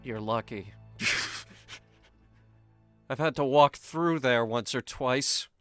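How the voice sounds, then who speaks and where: A man's voice: mid-range voice, takes it slowly, clear, resonant tone, no echo, studio quality. A man in a tabletop roleplaying game, casually improvising and explaining the world to the other players. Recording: music behind the voice. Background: music.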